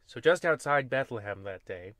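A man's voice: medium pitch, lively intonation, normal speed, a bit bright, no room sound. Man, comedic horror radio host, dry close sound, rambling folksy storyteller, wry asides.

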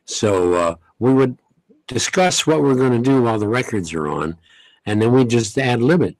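An older man, a veteran radio host, reminiscing in an unhurried, folksy way in a radio studio at a studio mic. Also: medium pitch, lively intonation, no echo, rough recording. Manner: unhurried, folksy, many pauses and "uh"s. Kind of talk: interview, reminiscing. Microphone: studio mic, headset.

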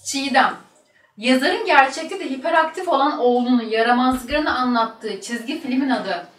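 A woman's voice: high-pitched, normal speed, a bit bright. A woman reading quiz questions aloud as the question reader. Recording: echoey room, distant mic.

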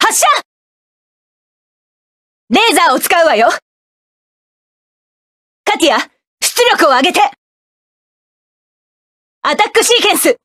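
Commanding female mecha pilot for a game; high, lively, unhurried delivery. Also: no echo, clean studio sound, heavily acted. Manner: commanding, barking attack orders. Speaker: game character. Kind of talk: video game battle voice lines.

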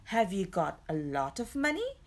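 A woman's voice: on the low side, huge pitch swings, normal speed, full character voice. A woman voicing a bossy, greedy male dwarf in a storybook, taunting and commanding. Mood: greedy curiosity.

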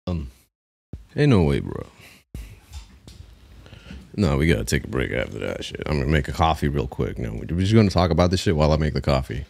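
A man in his thirties with a low voice reacts excitedly to music on a live stream, his pitch leaping up and down as he gets hyped. He talks in loose slang right into a close studio mic. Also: fairly quick, gravelly, pauses often. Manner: excitable, slangy, breaks into laughs and hums. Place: small room with blue lights, gaming chair. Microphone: studio mic on arm, headphones.

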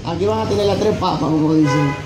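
A man's voice: on the high side, fast, loud music behind the voice. A man in his thirties with a Dominican Spanish accent giving a welcome speech into a handheld microphone. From La Vega, Dominican Republic. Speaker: restaurant owner giving inauguration speech. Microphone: handheld microphone through PA. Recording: echoey venue with event noise.

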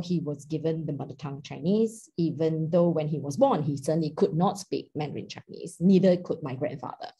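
A middle-aged woman with a Singaporean English accent gives an academic talk over a video call. Her voice sits a little low and sounds mellow and slightly husky. She speaks in a loose, conversational way, full of small fillers and hedges. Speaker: linguist lecturer. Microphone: laptop webcam on video call.

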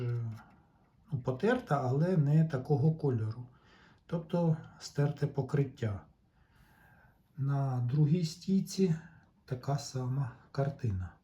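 Slow-talking, mellow man, slightly dull recording. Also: medium pitch. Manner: deliberate, halting, pauses mid-sentence. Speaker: tape recorder repair vlogger. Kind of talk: casual hands-on technical explanation.

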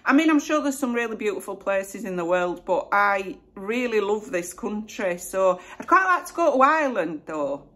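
A woman's voice: mid-range voice, sing-song, moderate pace, few pauses, fairly bright tone. Yorkshire lady in her 40s, rambly friendly q&a chat at home, lots of "you know". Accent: West Yorkshire. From Northern England. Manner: chatty, self-deprecating, lots of "you know". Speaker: YouTuber. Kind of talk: casual Q&A chat to camera. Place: home room with flowers behind.

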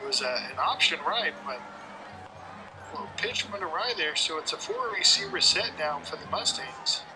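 A man calling play-by-play for a high school football game, quick and excited on big plays. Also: over music, poor audio. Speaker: play-by-play announcer. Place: outdoor high school football stadium at night.

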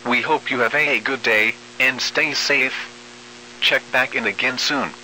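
Very bright synthetic male weather radio announcer. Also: medium pitch, fairly even intonation, a little hiss or hum, rough recording. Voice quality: synthetic text-to-speech voice. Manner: formal, measured, impersonal. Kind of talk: automated weather bulletin reading.

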